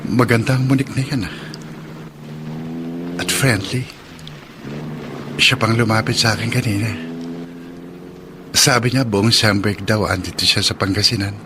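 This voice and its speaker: Low-voiced, earnest, lonely young Filipino man played by an actor. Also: normal speed, long pauses, background noise, close to an everyday voice. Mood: musing. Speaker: radio drama actor. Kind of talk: Filipino radio drama acting.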